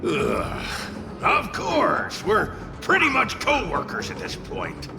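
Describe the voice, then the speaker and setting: Cocky hot-tempered spirit for a game, super gravelly, pitch jumps all over, music behind. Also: male voice, wildly swooping pitch, takes it slowly, exaggerated voice. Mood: cocky, scheming. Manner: cocky, theatrical outbursts. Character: brash, hot-tempered male fire spirit living as a tail. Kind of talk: video game voice acting. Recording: music under the voice.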